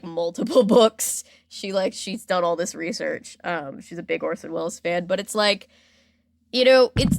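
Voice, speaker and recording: Woman, podcast host, casual storyteller, chatty, animated delivery, mid-pitched voice, dry studio-like sound. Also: vocal fry, dry recording. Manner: informal and animated, quoting imagined reactions.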